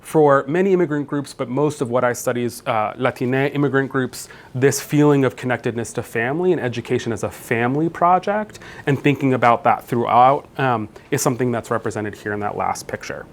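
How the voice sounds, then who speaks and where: Man, 30s, keynote speaker, conversational, filler words, mid pitch. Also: a little fast, few pauses. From New Jersey, US. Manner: conversational, many fillers and restarts. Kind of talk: conference keynote talk. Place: conference room.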